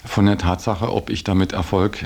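A man giving reflective interview answers in a hesitant, halting, thoughtful way. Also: low voice, fairly even intonation, gravelly, darkish tone.

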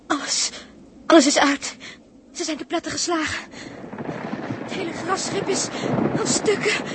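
A woman's voice: very high voice, unhurried. An actress playing an anxious, emotional woman in a 1960s radio play, breathless and dramatic. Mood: despairing. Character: anxious emotional woman watching a doomed comrade. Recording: noisy 1960s radio recording.

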